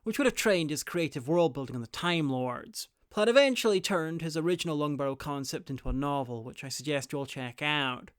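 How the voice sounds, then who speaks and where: Clear, dry, higher-pitched man reviewing. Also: brisk, hardly any pauses, no room sound. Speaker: reviewer and host. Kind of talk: scripted video review commentary.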